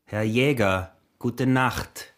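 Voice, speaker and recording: A possessive Austrian peasant smuggler in a radio play. His voice sits at an average male pitch, and he talks in a needling, stubborn way, full of suspicion. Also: extremely slow. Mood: affronted. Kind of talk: radio drama acting in dialect.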